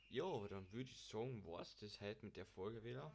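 A man's voice: on the low side, animated, a little fast, gravelly, darkish tone, slight background noise. A young man, casually commenting on a farming game while mumbling to himself, and he has a cold. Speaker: gaming channel host.

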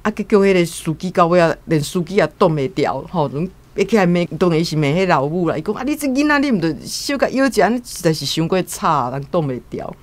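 A woman's voice: on the low side, big pitch swings, quick pace, hardly any pauses, dry recording. A woman with a Taiwanese accent casually retelling a story as a guest commentator, acting out quotes.

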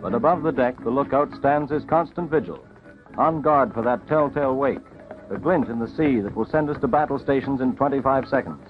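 A man's voice: mid-range voice, fairly quick, faint music behind. A man reading scripted wartime documentary narration in a dramatic, solemn 1940s newsreel manner. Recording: dull 1940s film soundtrack.

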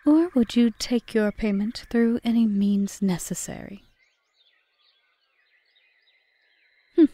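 A woman playing a composed, aggrieved witch in an ASMR roleplay, speaking formally and measuredly in a breathy half-whisper. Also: medium pitch, mellow, no room sound, natural voice. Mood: cool, probing. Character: cool, bargaining yandere witch, aggrieved yet composed. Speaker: audio roleplay performer.

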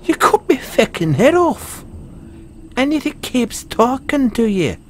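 A man's voice: very high-pitched, sing-song, a little slow, a little hiss or hum, exaggerated voice. A man with a British accent playing a cocky, foul-mouthed, stammering Dullahan social media wannabe in a comedic audio roleplay. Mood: outraged, boastful. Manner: stammering, sweary, boastful.

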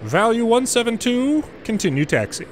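A man doing gameplay commentary, mixing measured air traffic control phraseology with muttered casual asides. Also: extremely high pitch, big pitch swings. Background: game airport ambience.

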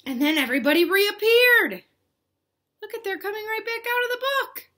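Bright-voiced young woman reading to kids. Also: very high voice, normal speed. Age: young adult. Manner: expressive, simple child-directed talk with questions. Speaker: children's read-aloud host, teacher. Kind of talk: animated picture-book read-aloud for young children. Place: living room at home, indoors.

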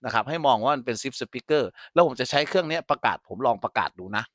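A man's voice: medium pitch, fast talker, fairly bright tone, no room sound. Man teaching an informal technical tutorial. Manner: explanatory, frequently checks that listeners follow. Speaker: instructor. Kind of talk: informal software tutorial with live demonstration.

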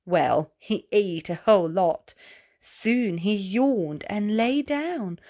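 A woman narrating a rhyming children's storybook aloud with dramatic, deliberate phrasing. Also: mid-range voice, expressive, dark tone.

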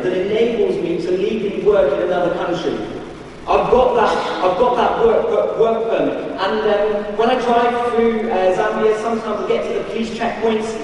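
A man's voice: sits high, darkish tone. A man in his thirties from Liverpool with an English accent, preaching a sermon in a conversational way, repeating phrases for emphasis. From Liverpool, England. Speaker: preacher and Bible college teacher. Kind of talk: sermon to a congregation. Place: church stage in a large room. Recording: echoey hall, distant mic, noisy.

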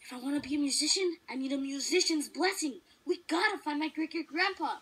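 A woman's voice: sits high, brisk, very noisy, natural voice. A woman voicing an earnest, defiant, pleading young boy in a storybook read-along. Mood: determined. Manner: pleading, emphatic.

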